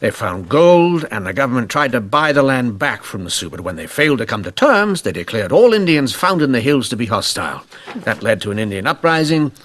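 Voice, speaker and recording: Wry, animated police inspector. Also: male voice, mid-range voice, fairly quick. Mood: grave, explaining. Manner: wry, sardonic, formal. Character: shrewd, principled Canadian police inspector of the 1890s. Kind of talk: old-time radio mystery acting. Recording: noisy old radio drama recording.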